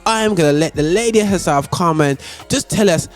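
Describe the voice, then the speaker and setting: A man hosting a radio show interview, talking in an animated, chatty way full of fillers. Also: high voice, fairly quick, soft background music.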